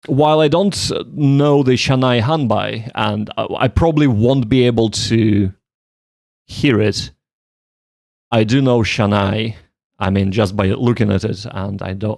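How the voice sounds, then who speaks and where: A man's voice: on the low side, gravelly, no room sound, studio quality. A man hosting a casual study livestream, thinking aloud in a joking, self-deprecating way. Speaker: livestream host, language learner.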